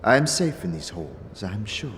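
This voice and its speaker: A man's voice: lowish voice, very animated, normal speed, fairly bright tone, big-room sound. A man voicing a poised, imperious teenage girl prodigy in an audio drama, speaking in a formal, commanding way. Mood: regal, composed.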